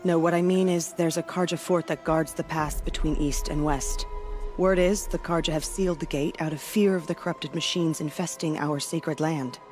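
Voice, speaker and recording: A woman's voice: low voice, fairly even intonation, normal speed, darkish tone, lightly acted. A woman voices a proud female war chief in a game cutscene. She is stern and weary but steadfast, with music playing behind her. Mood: skeptical, firm. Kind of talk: video game cutscene acting.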